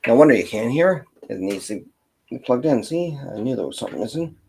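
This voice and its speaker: A man's voice: medium pitch, expressive, fairly quick, gravelly, a little mellow, slight background noise. A man from Canada hosting a casual livestream, thinking aloud in a distracted mutter as he fiddles with his equipment. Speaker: livestream host, genealogist.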